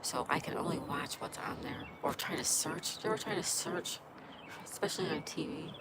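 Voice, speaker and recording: A woman's voice: deep voice, lively intonation, normal speed. A woman hosting a casual livestream, with a stuffed-up nose, greeting viewers by name and reading their chat aloud. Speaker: livestream host. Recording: loud background noise.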